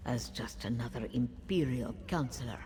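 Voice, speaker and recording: A cold female cultist from a fantasy game. Her voice is extremely low for a woman, deliberate and conspiratorial, ringing with long reverb over faint ambient noise. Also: warm tone. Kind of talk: fantasy video game voice acting.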